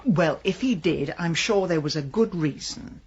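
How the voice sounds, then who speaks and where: An actress playing a sharp-tongued, vain middle-aged businesswoman turned village detective in a radio drama, brisk and drily wry. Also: fairly low pitch, expressive, husky, dull audio, exaggerated voice. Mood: flustered, defensive.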